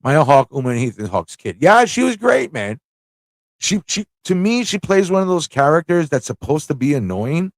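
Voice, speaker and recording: Animated man rambling casually, very dry sound. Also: a little high, normal speed, vocal fry, no echo. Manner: rambling, animated, informal, with frequent rhetorical tag questions. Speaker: livestream talk show host. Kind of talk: casual fan chat on a livestream.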